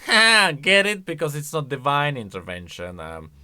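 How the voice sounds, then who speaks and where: A man's voice: on the high side, wildly swooping pitch, moderate pace, pushed and bright, clear, resonant tone. A man giving casual, conversational video game lore commentary, thinking aloud and posing questions. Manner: conversational, speculative, rhetorical questions. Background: faint music.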